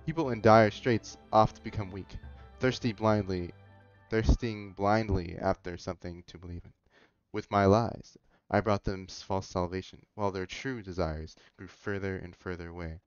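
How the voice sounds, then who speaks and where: A man with a deep, smooth, clear voice commentates a game and reads its text aloud. The sound is dry, close and slightly dull, with faint music behind. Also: steady tone, normal speed, dry recording. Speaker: gamer commentating a playthrough.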